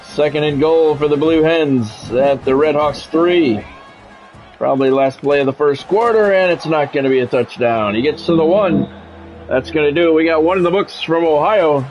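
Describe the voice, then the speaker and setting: A man's voice: on the high side, normal speed, a little mellow. A man livestreaming a game, mixing casual, opinionated chat with sports play-by-play commentary. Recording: dull lo-fi audio, music behind. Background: music.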